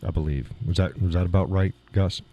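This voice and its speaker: Deep-voiced guy hosting his live stream, bit of gravel, chuckles a lot, rambles on. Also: steady tone, fairly quick, dark tone, muffled recording. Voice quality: slight gravel in voice. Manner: rambling, chuckling between remarks. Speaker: live show host. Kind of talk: casual live-stream chat. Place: home studio in a weight room. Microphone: studio mic through mixer board.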